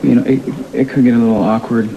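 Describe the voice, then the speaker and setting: An actor playing an earnest, protective young heir in a television soap, speaking in an everyday, sincere way. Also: male voice, medium pitch, little pitch movement, fast talker, vocal fry, a little hiss or hum, natural voice. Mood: wary, hesitant. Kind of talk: television soap opera acting. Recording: dull TV audio under music.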